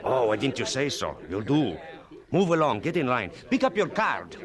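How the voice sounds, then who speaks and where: An actor playing a gruff, bossy construction camp foreman in a radio drama, curt with everyone. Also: medium pitch, very animated, moderate pace, over music, natural voice. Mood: brusque. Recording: dramatized scene with crowd bustle behind.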